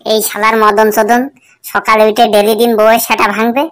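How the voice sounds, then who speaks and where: A woman's voice: fairly high pitch, level tone, brisk, close to an everyday voice. A woman voicing a sleep-deprived, foul-mouthed male neighbour who grumbles in exasperation in a cartoon comedy. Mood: exasperated grumbling. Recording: very noisy, clicking background.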